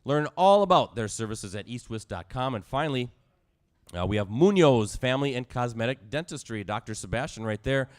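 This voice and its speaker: A man hosting a live sports talk show in a bar, hoarse and squeaky tonight, hyping the crowd with energy. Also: expressive, brisk. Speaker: show host.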